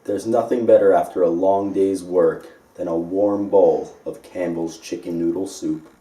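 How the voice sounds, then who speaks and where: A man's voice: low voice, fairly even intonation, a little slow, mellow, reverberant. A man playing a cheesy, easily delighted 1950s husband in a household sketch. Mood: hammy cheer. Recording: distant mic, faint noise.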